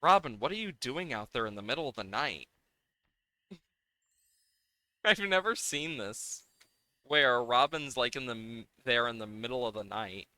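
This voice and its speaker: A man's voice: mid-range voice, animated, unhurried, pauses often, pushed and bright, clean tone, dry recording. A man giving casual, playful live commentary on a game, thinking aloud as he plays, with game music behind him. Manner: playful, hums and makes sound effects while talking.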